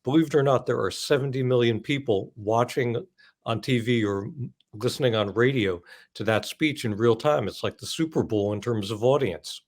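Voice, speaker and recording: Articulate American man, medium-pitched voice. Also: normal speed. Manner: articulate, emphatic, long structured sentences. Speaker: author, interview guest. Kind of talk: explanatory radio interview.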